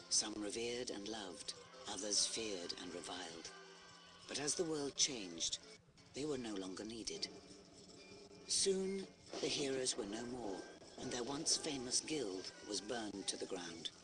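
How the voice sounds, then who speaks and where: A man's voice: fairly low pitch, rough recording. A man playing a grave, dramatic storyteller narrating a fantasy legend in a scripted storybook style. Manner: dramatic, solemn. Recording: music behind.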